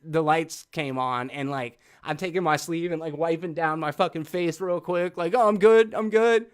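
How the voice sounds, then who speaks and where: A man's voice: on the high side, normal speed, clean tone. A man co-hosting a film review in casual, informal talk with an emphatic, sweary way of speaking. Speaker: podcast co-host.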